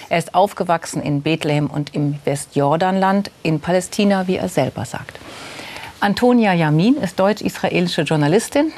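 A woman hosting a political discussion show, introducing the topic and her guests in a measured, formal way. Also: low voice, a little mellow. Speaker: talk show host. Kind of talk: news moderation.

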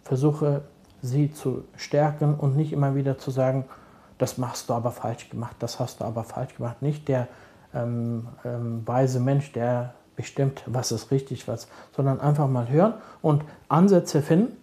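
A middle-aged man, a social worker, answering interview questions calmly and thoughtfully, often pausing to search for words. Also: mid-range voice. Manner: calm, measured, searching for words with many fillers. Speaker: social worker advising fathers.

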